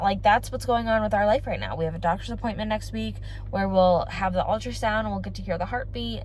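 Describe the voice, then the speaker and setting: A woman's voice: medium pitch, a little hiss or hum. Congested young woman chatting casually. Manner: chatty, rambling, fillers like "like". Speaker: family vlogger. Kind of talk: casual chat to camera.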